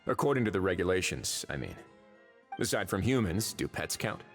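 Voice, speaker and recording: A shrewd official from a video game. His voice is low and unhurried, with dry wit and easy authority. Music plays faintly behind him. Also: big pitch swings. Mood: wry, musing. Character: wry, laid-back, shrewd male official in command. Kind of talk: English video game voice acting.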